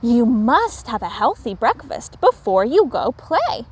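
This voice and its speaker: A woman as a high-voiced, caring mother raccoon. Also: big pitch swings, a little slow, slightly rough, heavily acted. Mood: gently firm. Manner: motherly, gently cautioning.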